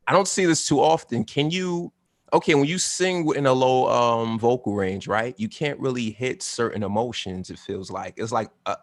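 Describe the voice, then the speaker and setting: A man with a low baritone voice, hesitantly asking questions in a casual group chat. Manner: hesitant, searching for words.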